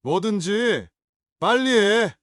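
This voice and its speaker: Man acting as a drunk, scornful son, curt demands, high-pitched voice. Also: expressive, slow pace, a bit bright, dry recording, studio quality, full character voice. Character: drunk, contemptuous adult son. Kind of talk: audio drama acting.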